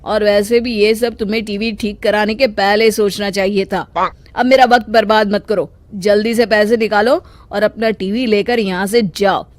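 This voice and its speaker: A woman's voice: medium pitch, fairly even intonation, normal speed, dry recording, close to an everyday voice. A woman voicing a greedy, dishonest male village repairman in a storybook tale, brusque and dismissive. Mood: impatient, scolding. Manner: dismissive, hard-nosed, wheedling. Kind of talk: children's storybook acting.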